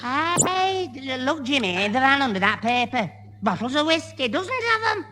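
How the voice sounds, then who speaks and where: Dim, stammering young sidekick. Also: male voice, extremely high pitch, big pitch swings, moderate pace. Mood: eager, innocent. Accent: Northern English. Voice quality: stammering, tripping over words. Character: dim, well-meaning, muddled young male sidekick. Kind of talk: 1970 British radio sitcom acting. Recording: reverberant hall, noisy old radio recording.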